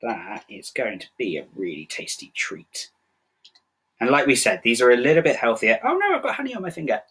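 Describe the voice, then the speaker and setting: A man's voice: mid-range voice, very animated, a little fast, fairly bright tone, breathy edge. A young man hosting a children's cooking demo in a chatty, encouraging way. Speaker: children's show host. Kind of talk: cheerful kids' cooking demo.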